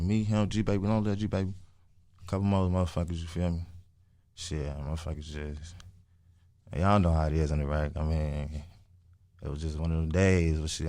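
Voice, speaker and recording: Young man, early twenties. Very low voice, dry close sound, slangy and emphatic interview storytelling. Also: mellow, dry recording. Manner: emphatic, repeats phrases, frequent swearing. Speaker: interview guest, music artist.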